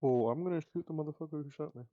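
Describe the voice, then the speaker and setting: A man's voice: a little high, very fast, no echo, muffled recording. A man playing a tabletop game, chatting casually in a brash, slangy way with heavy swearing. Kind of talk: casual gaming chat.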